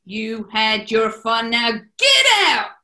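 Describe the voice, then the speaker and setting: Woman as a sharp, bossy New York gangster. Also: a little high, sing-song, takes it slowly, heavily acted. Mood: threatening. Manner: bossy, smooth-talking. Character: bossy, menacing yet genial male gangster club owner. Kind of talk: 1940s radio comedy acting. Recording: echoey room.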